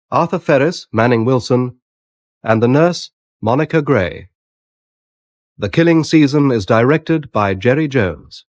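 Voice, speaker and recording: A man's voice: medium pitch, no room sound. A man reading a scripted announcement as a radio announcer.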